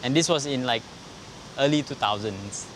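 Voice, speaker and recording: A man, a graffiti artist, explaining his work in a relaxed way with fillers in a documentary interview. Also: medium pitch, moderate pace, noisy background.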